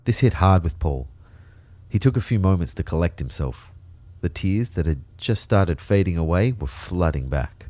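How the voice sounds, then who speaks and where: An actor playing an uneasy, observant narrator in a first-person audio drama, speaking in a reflective, measured way. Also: male voice, low-pitched, expressive, mellow, no echo. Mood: grave.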